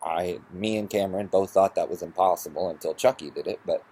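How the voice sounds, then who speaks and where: A man with a husky voice ranting through a personal story in an exasperated, sarcastic, profane way. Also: deep voice, steady tone, fairly quick, dark tone, slight background noise. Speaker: vlogger.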